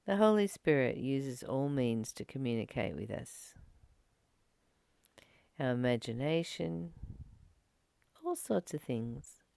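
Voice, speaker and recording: A woman reading a spiritual text aloud and reflecting on it in a slow, gentle, contemplative way. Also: extremely low pitch, expressive, gravelly, no room sound. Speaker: spiritual study reader and teacher.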